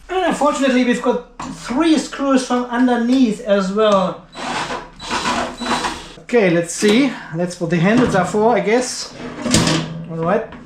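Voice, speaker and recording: A man's voice: high voice, noisy background. A man hosting a casual hands-on product review, talking informally and thinking aloud as he handles the item. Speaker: video host, product reviewer. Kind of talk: casual unboxing and review commentary. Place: indoor workshop or garage. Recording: roomy, echoing indoor sound.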